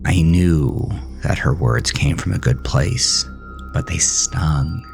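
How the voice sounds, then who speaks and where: Low, slow male horror narrator. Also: vocal fry. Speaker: first-person story narrator. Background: music.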